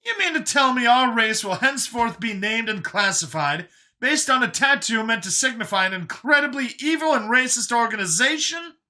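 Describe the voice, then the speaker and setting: Man putting on an excitable doctor in an audio story, pitched up high, piercingly bright, halting, exclaiming. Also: full character voice. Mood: incredulous. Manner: exclaiming, halting when searching for words. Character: Brian, excitable, shocked doctor, abducted man.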